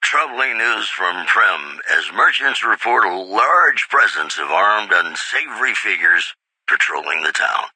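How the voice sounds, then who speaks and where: An actor playing a suave radio DJ who reads wasteland news in a polished, measured announcer style for a video game. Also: male voice, fairly low pitch, expressive, bright, forceful tone, husky, dry recording, full character voice, poor audio. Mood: composed, polished. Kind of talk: scripted radio news bulletin.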